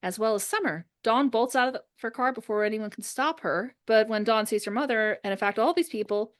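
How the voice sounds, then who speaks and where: A woman's voice: a little high, a little fast. A woman hosting and narrating a script's stage directions aloud to friends. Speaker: host and narrator.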